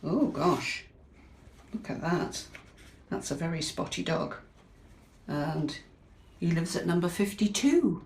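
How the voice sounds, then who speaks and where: A British woman teacher reading a picture book aloud to young children, expressive and chatty, often asking her listeners questions. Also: on the low side, takes it slowly, pauses often, slight background noise. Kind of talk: animated children's storytime read-aloud with chatty asides. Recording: echoey, reverberant room.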